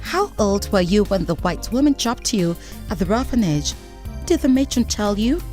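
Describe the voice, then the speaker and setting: A woman's voice: mid-range voice, expressive, moderate pace, a little mellow, faint music behind, natural voice. An actress playing a caring, inquisitive woman who runs a shelter, in a radio drama. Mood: concerned. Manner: kindly, inquisitive.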